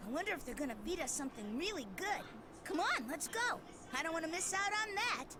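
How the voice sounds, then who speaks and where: An actress playing an eager, gushing young kid in a dubbed fantasy video game. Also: female voice, very high-pitched, big pitch swings, brisk, background noise. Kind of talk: English anime-style video game dub.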